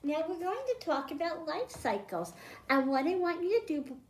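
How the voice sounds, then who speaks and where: A woman's voice: very high-pitched, animated, moderate pace. A woman hosting a children's storytime, reading aloud and explaining science simply to young listeners. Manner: simple explanations addressed to children, with questions to them. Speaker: children's librarian and storytime host. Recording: roomy, echoing indoor sound.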